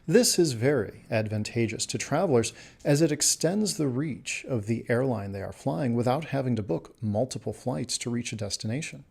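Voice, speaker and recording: A man's voice: mid-range voice, gravelly, darkish tone, husky. A man hosting a travel news update, reading from a script in a presenter's style.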